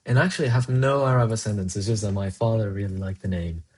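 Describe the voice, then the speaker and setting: A man's voice: fairly low pitch, quick pace, a little mellow. Man thinking aloud while coding. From Colombia. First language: Spanish. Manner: muses aloud, answers chat between tasks. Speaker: programmer streaming live coding.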